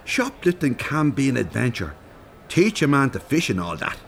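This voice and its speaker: An actor playing a chatty older Irish chancer in a radio drama, colloquial and teasing. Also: male voice, medium pitch, huge pitch swings, moderate pace, gravelly, fairly bright tone, slight background noise, full character voice. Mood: upbeat, persuasive. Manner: colloquial, teasing, swears mildly. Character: chatty, cheeky older Dublin chancer, male.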